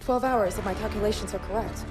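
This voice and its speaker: Woman acting clever, resolute warship crewmember, loud score. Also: mid-range voice, fast talker, over music, lightly acted. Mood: determined. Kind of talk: cinematic sci-fi game dialogue. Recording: voice under very loud cinematic score.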